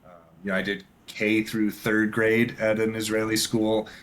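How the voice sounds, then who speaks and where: A man's voice: on the low side, level tone, moderate pace, fairly bright tone, slight background noise. A man in his thirties, a guest talking casually and rambling with many fillers. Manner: rambling, lots of fillers and restarts. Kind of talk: casual interview chat.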